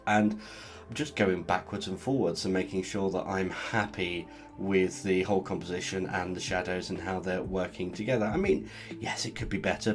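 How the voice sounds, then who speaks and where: A man with a stuffy cold, chatting casually as he talks through a painting. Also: sits low. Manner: relaxed, chatty, explains as he paints. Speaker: art channel host. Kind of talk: casual art tutorial narration.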